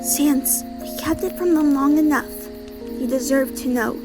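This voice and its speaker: A woman voicing a nervous female monster scientist in a fan comic dub, timid and halting with a stammer. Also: fairly even intonation, over music. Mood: anxious, explaining. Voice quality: very high-pitched, girlish.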